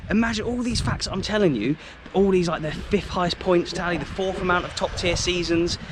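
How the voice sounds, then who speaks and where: A man's voice: sits high, fairly quick, slight background noise. An English man filming a football travel vlog, walking round a stadium outdoors and exclaiming about it to a handheld camera. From England. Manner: enthusiastic, exclaims often.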